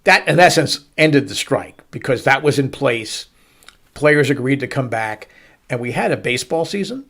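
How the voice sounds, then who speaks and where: Middle aged guy doing sports storytelling, really animated pitch, drags out words for emphasis. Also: moderate pace. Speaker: baseball show host. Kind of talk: storytelling sports commentary to listeners.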